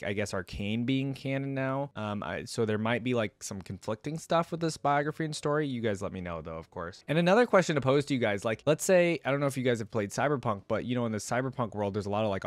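A man's voice: medium pitch, dry recording. A young man giving casual reaction commentary and reading aloud, musing and speaking straight to his viewers. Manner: conversational, musing, addresses viewers directly. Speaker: host of a gaming lore reaction channel. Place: indoor room at a desk, gaming chair. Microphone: close desk microphone on a stand, headset worn.

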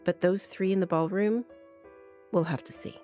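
A woman playing a composed, protective vampire mentor in a video game, measured and matter-of-fact. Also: mid-range voice, warm tone, lightly acted. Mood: calm, confiding. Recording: music behind the voice.

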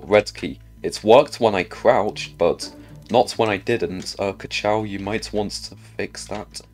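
A man's voice: on the low side, a little mellow. A man giving casual gameplay commentary as a video host, talking to himself and asking himself questions as he plays.

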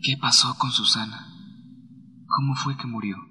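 An actor playing an inquisitive traveler questioning a storyteller in a radio drama. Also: male voice, mid-range voice, steady tone, moderate pace, music in the background, close to an everyday voice. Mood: curious.

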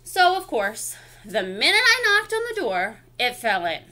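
Woman as a high, theatrical, wronged wolf storyteller. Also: wildly swooping pitch, measured pace, bright, forceful tone, slight background noise, heavily acted. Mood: chatty, self-justifying. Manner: theatrical, chatty, confiding storytelling. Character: indignant, wronged wolf telling his side, self-justifying. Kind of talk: expressive picture-book read-aloud.

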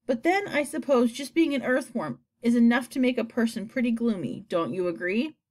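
Woman reader as female ladybug, kindly, chatty, confiding, highish voice, storybook. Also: lively intonation, normal speed, a little mellow.

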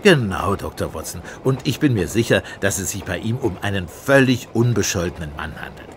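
Casting: actor as blunt, sardonic Scotland Yard man, low-pitched, radio play, faint vehicle rumble in background. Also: lively intonation, brisk, close to an everyday voice. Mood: sceptical, firm. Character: grumbling, sceptical male Scotland Yard police inspector. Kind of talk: German radio play dialogue. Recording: train rumble behind the voice.

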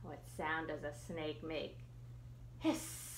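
A middle-aged woman reading a picture book for children, expressive and putting questions to young listeners. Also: low voice, slow pace, echoey room, slight background noise. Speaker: children's librarian, storytime reader. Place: small room indoors.

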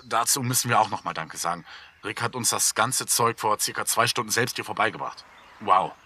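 A man's voice: on the low side, a little fast, pushed and bright, breathy edge, full character voice. A man playing a bantering young podcaster in a German audio drama, casual and teasing. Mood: playful, bantering. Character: Marius, bantering young male podcaster reporting on a garden hole.

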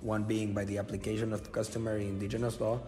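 Man, from Guatemala, formal prepared talk, filler uhs, low flat voice, reverberant room. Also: quick pace, faint music behind. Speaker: podcast guest speaker.

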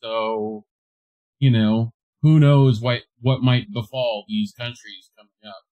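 A man's voice: mid-range voice, steady tone, slow pace, warm tone, muffled recording. A male co-host chatting casually on a news stream, teasing his partner and making wry remarks. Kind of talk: casual commentary. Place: home studio, indoors.

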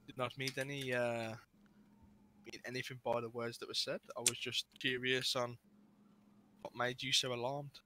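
A man's voice: mid-range voice, little pitch movement, moderate pace, pauses often, close to an everyday voice. A man playing a formal, defensive, argumentative lawyer in an online roleplay game. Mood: formal, insistent. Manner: formal, argumentative, addresses people by title. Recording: noisy online game voice chat.

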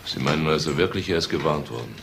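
An actor playing a sober, sceptical senior investigator in a crime radio play, measured and questioning. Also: male voice, rumbling low, noisy background.

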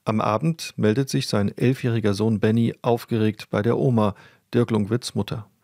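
A man's voice: fairly low pitch, steady tone, moderate pace, gravelly, darkish tone, dry recording, clean studio sound. A man reading scripted documentary narration about a crime case. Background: music.

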